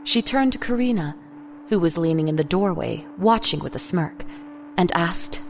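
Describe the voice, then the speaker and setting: A woman's voice: lowish voice, lively intonation, normal speed, creaky, slight background noise. A woman reading scripted third-person story narration for an audio drama. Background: music.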